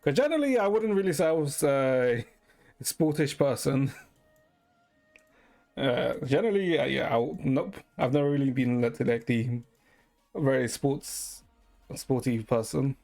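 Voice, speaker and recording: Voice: medium pitch, animated, unhurried, long pauses, a little mellow, faint music behind. A streamer chatting casually in a hesitant, meandering way, often tagging sentences with "right?" and swearing now and then. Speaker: live streamer. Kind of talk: casual rambling stream chat.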